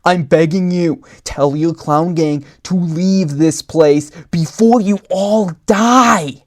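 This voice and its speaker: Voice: mid-range voice, slow pace, a little mellow, natural voice. A storyteller voicing a frantic, desperate male monster-hunting agent in a comic horror story.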